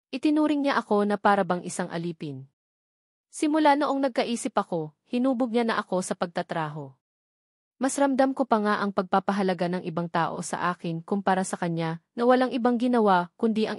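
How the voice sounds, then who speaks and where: A woman narrates a novel in the first person. Her voice sits at a middle pitch for a woman. The recording is dry, with no room sound around her. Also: darkish tone, no echo. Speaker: audiobook narrator.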